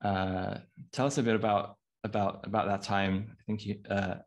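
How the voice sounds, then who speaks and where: A man in his thirties hosts an interview over a video call from a small room. He speaks in a low voice that stays flat. His delivery is halting, with frequent pauses and restarts. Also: dull audio. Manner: halting, many fillers and restarts. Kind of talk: hosting an interview.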